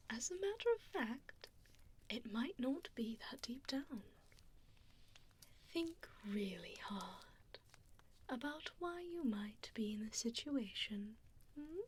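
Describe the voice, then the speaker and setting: A woman playing an evil, condescending female vampire in an ASMR roleplay, whispering breathily and close to a binaural microphone with soft, teasing menace. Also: a little high, slight background noise. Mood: mocking, taunting. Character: evil mean female vampire preparing a human as meal. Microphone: close binaural 3Dio microphone.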